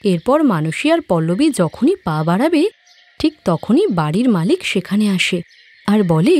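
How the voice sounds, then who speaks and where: A woman's voice: mid-range voice, sing-song, unhurried, a little mellow. A woman narrating a scripted moral story as a storyteller.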